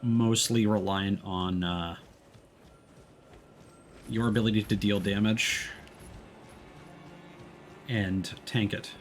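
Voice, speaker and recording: A man's voice: on the low side, steady tone, slow pace, long pauses, slight background noise. A man giving casual live gameplay commentary as a streamer, with wry, playful asides as he narrates what he is doing. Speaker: video game streamer. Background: music behind the voice.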